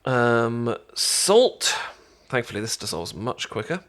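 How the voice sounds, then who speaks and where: A British man playing a caring, chatty best friend in an intimate ASMR roleplay, talking casually with halting, stammering explanations. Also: medium pitch, sing-song, a bit bright. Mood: relaxed, instructive. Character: caring, chatty male best friend teaching sushi making.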